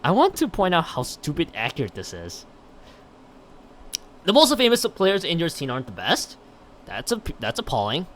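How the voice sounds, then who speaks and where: A man's voice: on the high side, wildly swooping pitch, moderate pace, pauses often, fairly bright tone, a little hiss or hum. A man livestreaming, chatting and reading a visual novel aloud, often stumbling over and rereading his lines. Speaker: live streamer.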